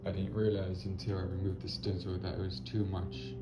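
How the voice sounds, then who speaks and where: A man with a raspy voice talking casually about his finished spray painting, hesitant with many pauses and fillers. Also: low voice, level tone. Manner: hesitant, many ums and restarts. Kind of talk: casual video commentary. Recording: muffled audio with loud music behind. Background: loud background music.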